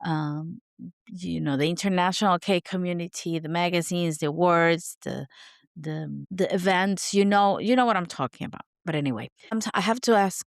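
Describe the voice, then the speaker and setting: Podcast host, woman, hoarse and raspy from a sore throat, lowish voice, rambling self-mocking chat, dry close sound. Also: normal speed, no room sound. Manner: rambling and chatty, laughing at herself. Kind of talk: unscripted casual chat.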